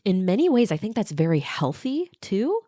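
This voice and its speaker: A woman's voice: medium pitch, sing-song, moderate pace, dark tone, dry recording, clean studio sound. A woman co-hosting a casual podcast chat with her partner, reflective and earnest, sounding nasal from a stuffed-up nose. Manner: reflective, earnest, laughs lightly. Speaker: podcast co-host.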